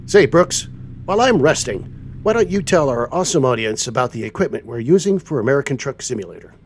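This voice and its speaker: A man streaming a truck driving game as his trucker persona, relaxed and folksy. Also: mid-range voice, fast talker. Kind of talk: casual roleplay chat over gameplay.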